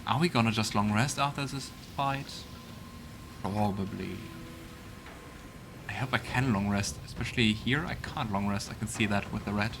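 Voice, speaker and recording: A man's voice: on the low side, normal speed, vocal fry, noisy background. A man hosting a let's play, giving casual gameplay commentary as he thinks aloud. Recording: loud game music behind voice. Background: loud video game music.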